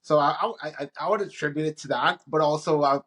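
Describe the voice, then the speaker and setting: A man's voice: a little high, fast. Laid-back Bahamian-raised man, chatty. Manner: relaxed, rambling, frequent restarts and laughs. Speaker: guest. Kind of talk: casual interview chat.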